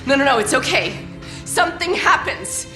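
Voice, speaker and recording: An actress playing a desperate but fervently hopeful visionary in a video game cutscene, pleading intensely. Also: female voice, high-pitched, animated, moderate pace, reverberant, loud music behind the voice.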